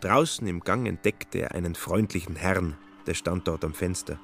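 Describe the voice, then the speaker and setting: A man's voice: deep voice, normal speed, gravelly, a bit bright, soft background music. A man narrating a literary radio play with scripted, storytelling delivery.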